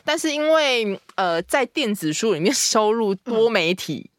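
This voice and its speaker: A woman's voice: fairly high pitch, very animated, measured pace, no echo, clean studio sound. A woman with a Taiwanese Mandarin accent, a podcast guest from publishing, explaining things casually with some hesitation. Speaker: guest, publishing editor-in-chief. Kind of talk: casual explanatory interview talk. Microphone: close studio podcast microphone.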